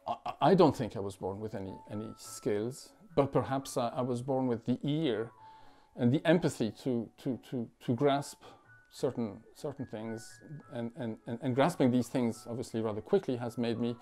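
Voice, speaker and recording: A man in his forties from France, a musician talking thoughtfully at home, with many pauses, fillers and restarts. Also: medium pitch, mellow, soft background music. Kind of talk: reflective interview talk. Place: living room at home.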